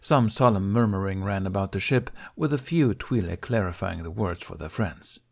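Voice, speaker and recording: A man narrates an audiobook in a fairly low voice. He reads scripted fiction as a storyteller. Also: moderate pace, creaky, breathy edge.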